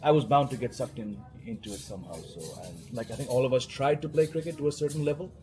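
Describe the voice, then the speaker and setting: A man with an Indian accent talks easily as an interview guest, wry and chatty, often restarting his sentences. His voice sits at an ordinary middle pitch. A clear hum of background noise runs under the recording. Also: fast talker. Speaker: cricket journalist, guest. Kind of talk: casual interview chat.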